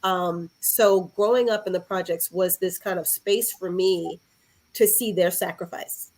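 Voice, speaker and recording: A woman's voice: on the low side, unhurried, darkish tone, poor audio. A woman from Harlem in New York City, talking casually and reflectively as a podcast guest.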